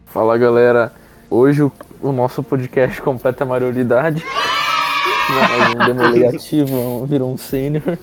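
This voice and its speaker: A man's voice: mid-range voice, steady tone, moderate pace, over loud music, over a noisy crowd. A young Brazilian man co-hosting a casual podcast, ribbing his friends bluntly. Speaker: podcast co-host. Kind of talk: casual banter.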